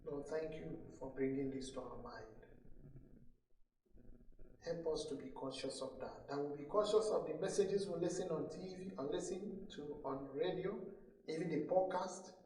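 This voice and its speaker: A man's voice: on the high side, normal speed, mellow, a little hiss or hum. A man preaching a sermon as a pastor, solemn and admonishing, repeating phrases for emphasis. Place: church hall during a service. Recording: echoey room, distant microphone.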